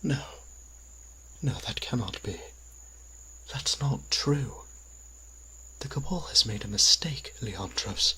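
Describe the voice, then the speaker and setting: Guy acting as a tormented old vampire priest, breathy and tense, slow pleading delivery, audio drama. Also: mid-range voice, lively intonation, sharp tone, heavily acted. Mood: desperate, disbelieving. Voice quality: breathy, husky, often near whisper. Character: anguished, devout male vampire priest, centuries old, imprisoned.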